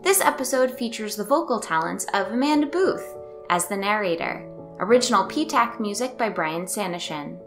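A woman's voice: mid-range voice, lively intonation, normal speed. A woman announcer reading scripted credits for an audio drama series. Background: music behind the voice.

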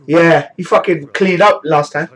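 A young man with a hoarse, flu-worn voice, casually reacting to anime episodes with lots of swearing. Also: a little high, unhurried, fairly bright tone. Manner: casual, swears a lot, coughs now and then. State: hoarse, getting over a flu. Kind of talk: live reaction commentary. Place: small indoor room. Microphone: webcam, headphones on.

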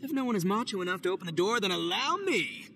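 A man voicing a loud, boastful and goofy young male oni gang boss in a game cutscene. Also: high voice, very animated, fast talker, noisy background. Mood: swaggering. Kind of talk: video game cutscene voice acting.

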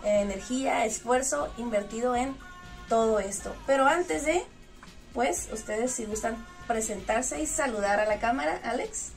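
A woman's voice: a little high, normal speed, gravelly. A woman in her thirties with a northern Mexican accent, hosting a casual, chatty interview. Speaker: interviewer and host. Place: small indoor lounge room in a club. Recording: distant mic, music in background.

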